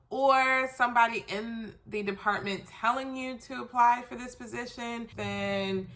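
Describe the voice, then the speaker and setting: A woman's voice: fairly low pitch, bright, forceful tone, a little hiss or hum. A congested woman with a stuffy, nasal voice from a cold, giving casual career tips in a chatty, emphatic way. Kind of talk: casual how-to advice video.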